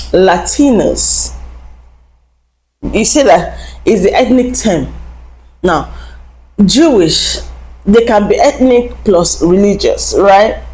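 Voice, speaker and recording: A woman's voice: mid-range voice, a little mellow, low-quality recording. Woman, host, lecturing tone, rhetorical questions. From Africa. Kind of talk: explanatory talk to viewers.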